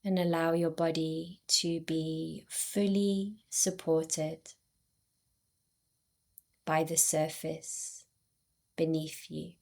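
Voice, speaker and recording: A woman guiding a yoga nidra relaxation in a soothing, unhurried way, with long pauses between short phrases. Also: low voice, steady tone, gravelly. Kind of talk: guided meditation.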